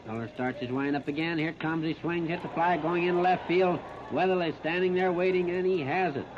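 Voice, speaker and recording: A man's voice: on the high side, fairly quick. An American man calling baseball play-by-play in a 1930s radio style, in short clipped phrases. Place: outdoor ballpark broadcast. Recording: noisy, muffled 1939 radio disc recording.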